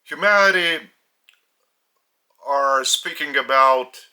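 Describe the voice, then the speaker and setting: A man from Lithuania with a Lithuanian accent, a spiritual teacher speaking in short, halting phrases, his native language being Lithuanian. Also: mid-range voice, sharp tone. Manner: halting, phrase-by-phrase delivery with pauses. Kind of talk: slow spiritual teaching talk.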